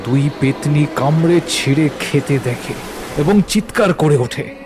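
A man narrating an animated ghost story in a dramatic storytelling style. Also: on the high side, unhurried, background noise.

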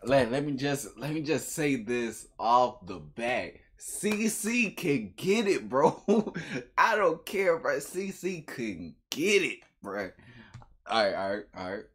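A young man giving casual reaction commentary in an animated, slangy way, repeating phrases. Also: medium pitch, slow, a little hiss or hum. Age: young adult. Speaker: reaction video host. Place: small bedroom, indoors.

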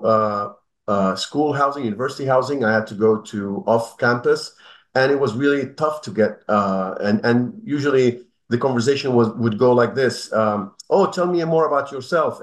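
Halting, measured man on a video call. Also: medium pitch. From Haifa, northern Israel. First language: Arabic. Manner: measured, halting with many fillers and restarts. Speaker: human rights lawyer, guest. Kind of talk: interview answers over video call. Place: indoor room at home. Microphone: video call webcam.

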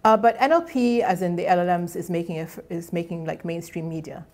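A woman's voice: lowish voice, quick pace, a little mellow. A middle-aged woman whose first language is Egyptian Arabic gives a conversational academic lecture from a podium, often restarting her phrases. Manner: conversational, with frequent restarts and fillers. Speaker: guest lecturer. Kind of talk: academic colloquium talk. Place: lecture hall.

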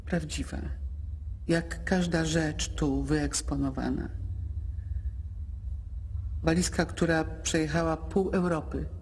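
An actress playing an anguished, worn-out museum guide in a radio drama, speaking haltingly and dramatically with long pauses. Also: female voice, low voice, fairly even intonation, a little mellow, slight background noise, rough recording. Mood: grave, sombre.

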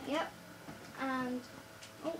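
A girl chatting casually during a building game, giving quick instructions to her partner. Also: high voice, steady tone. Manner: directing, gives quick instructions. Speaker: co-host playing a building game. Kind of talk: casual gameplay chat. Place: living room, in front of a TV. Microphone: phone camera filming the TV screen. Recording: far-off phone audio with room noise.

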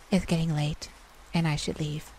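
A woman playing a proud, wounded, caring male vampire in an intimate, emotional ASMR audio drama, with a husky, confessional delivery. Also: sits low, measured pace, slight background noise, rough recording. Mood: guarded, soft. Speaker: audio roleplay performer.

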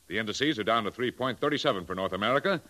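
A forceful, fairly low hearty older advertising chief in fifties radio drama. He is paternal and domineering, declaiming like a man used to giving orders. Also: lively intonation, very fast. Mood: hearty, urgent. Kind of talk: 1950s radio drama acting.

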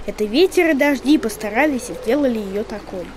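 A woman's voice: on the high side, lively intonation, fairly quick, darkish tone, slight background noise, lightly acted. A woman playing a patient, knowledgeable child who explains things in a children's educational cartoon. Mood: patient, explanatory.

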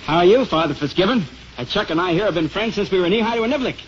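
An actor playing a breezy, chummy, jovial priest in a radio drama. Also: male voice, sits high, expressive, racing. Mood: breezy, friendly. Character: jovial, breezy golf-loving young priest. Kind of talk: 1950s radio drama acting. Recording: dull, hissy 1950s radio recording.

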